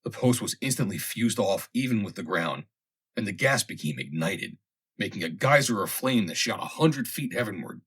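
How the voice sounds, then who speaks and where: Guy narrating an old funny story, raspy voice, lively up and down intonation, dramatic pauses. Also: fairly low pitch. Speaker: audiobook reader. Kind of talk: scripted narration of a comic vintage story.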